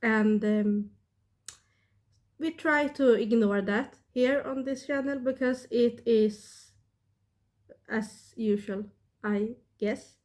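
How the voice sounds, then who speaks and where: A woman in her thirties with a Swedish accent, sick and talking casually to her camera in a halting way, with many pauses and fillers. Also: a little high, a little mellow. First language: not English. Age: 30s. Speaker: witchcraft vlogger.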